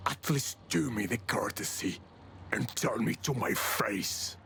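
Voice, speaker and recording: An actor playing a bored, sardonic ageless immortal in a video game, with a creaky voice and mocking, haughty drawl. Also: mid-range voice, unhurried, a bit bright, slight background noise. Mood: mocking amusement. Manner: drawling, mocking, aristocratic condescension. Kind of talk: video game villain acting.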